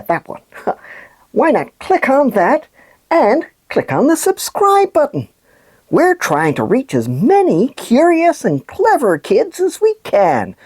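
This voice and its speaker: A woman voicing a cheerful, excitable sock puppet who presents a children's news show in a playful, exaggerated way. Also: medium pitch, husky, no echo, full character voice. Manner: animated, exaggerated delivery. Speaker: kids' news show host.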